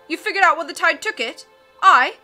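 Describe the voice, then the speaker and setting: A woman reading aloud and voicing a blustering male sea captain who booms and keeps saying "aye". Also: lively intonation, fairly quick, bright, forceful tone, soft background music, full character voice. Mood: boisterous. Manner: bellowing, peppers speech with "aye".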